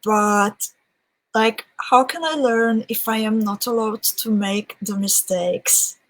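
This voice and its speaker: A woman's voice: on the high side, takes it slowly, rough recording. A young woman with a Hungarian accent chatting on a live stream, thinking aloud with restarts and reading out viewer comments. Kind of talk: casual live-stream chat.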